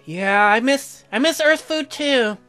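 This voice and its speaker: A man's voice: very high-pitched, expressive, takes it slowly, pushed and bright, clear, resonant tone. A man from Louisiana hosting a let's play, giving casual, rambling comic commentary on the game. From Louisiana, United States. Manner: joking, rambling. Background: music.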